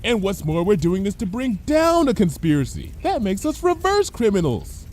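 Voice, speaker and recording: Man voicing a breezy, high-pitched cartoon mare troublemaker. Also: huge pitch swings, normal speed, darkish tone, heavily acted. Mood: blithely arguing. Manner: breezy, chatty, blithely unconcerned. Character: brash, carefree female pony troublemaker and conspiracy theorist. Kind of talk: animated fan fiction reading.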